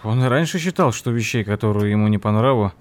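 An actor playing a thoughtful nephew who narrates an audio drama in an expressive, measured way. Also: male voice, fairly low pitch, lightly acted. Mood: puzzled, concerned. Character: thoughtful nephew recounting his uncle's strange tale. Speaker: narrator and character. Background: music.

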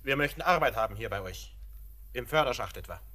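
An actor playing a cheeky, pushy young blacksmith looking for work, brash and glib in a radio drama. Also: male voice, medium pitch, lively intonation, fairly quick, bright, forceful tone. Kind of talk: German radio play acting.

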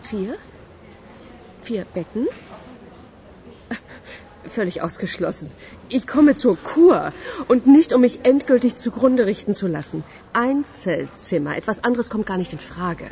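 A woman's voice: mid-range voice, lively intonation, a little slow, gravelly, pauses often, warm tone, a little hiss or hum. An actress playing a stubborn, self-assured woman patient in a radio crime drama, speaking insistently and dryly.

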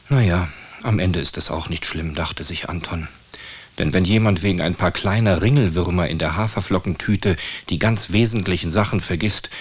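A man with a deep, husky voice narrates a radio play. His delivery is scripted storytelling.

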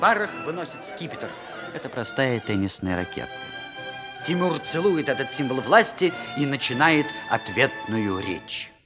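A man narrating a radio play in a scripted, theatrical storytelling style with deliberate pauses. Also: medium pitch, sing-song. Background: music with violins.

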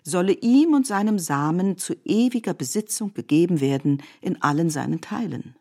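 Lower-voiced woman narrating with measured emphasis. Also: animated, darkish tone. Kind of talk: literary scripted narration in a radio play.

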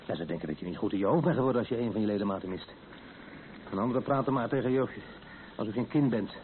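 A man's voice: on the low side, animated, racing, natural voice. An actor playing a stubborn, teasing, affectionate husband who has lost his legs in a naturalistic radio play. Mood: reflective, calm. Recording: noisy old radio play, tinny narrow band.

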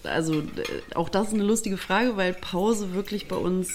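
A woman's voice: medium pitch, normal speed, darkish tone, slight background noise. A woman answering interview questions casually, hesitating and thinking aloud as she goes.

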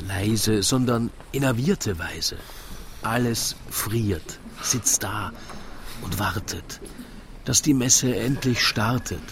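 A man's voice: mid-range voice, fairly bright tone, a little hiss or hum. A man narrating a scripted radio drama in rhymed verse.

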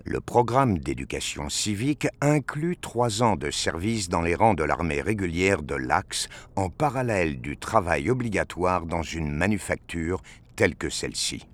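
Very deep-voiced male narrator, expressive pitch. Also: moderate pace. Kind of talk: scripted narration of a science fiction audio drama.

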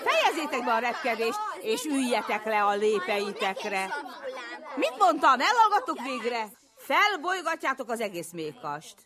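Fussy bee schoolteacher, wildly swooping voice. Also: female voice, fairly high pitch, very animated, bright, forceful tone, a faint crowd. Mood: exasperated, scolding. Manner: scolding, exclaiming, commanding. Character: strict, fussy female bee schoolteacher scolding restless pupils. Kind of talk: dramatised children's story.

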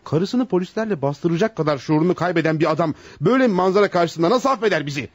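An actor playing a flustered, indignant psychologist trapped by blackmail, stammering out protests in a radio theater style. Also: male voice, on the high side, lively intonation, quick pace, no echo, muffled recording. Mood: alarmed indignation. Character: panicked, outraged male psychologist caught in blackmail trap. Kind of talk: Turkish radio theater acting.

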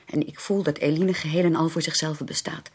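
An actress in a Dutch radio drama playing an earnest, anxious young sister who pleads haltingly and emphatically. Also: female voice, low-pitched, fairly even intonation, a little mellow, slightly rough. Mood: vehement, pleading. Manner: halting, emphatic, repeats words for stress.

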